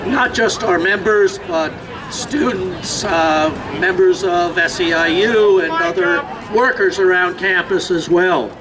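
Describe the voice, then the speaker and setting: A high-voiced man gives a measured, earnest union speech at an outdoor rally. He is recorded from some distance, and the crowd around him is clearly audible. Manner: measured and earnest, with occasional fillers. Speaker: union representative.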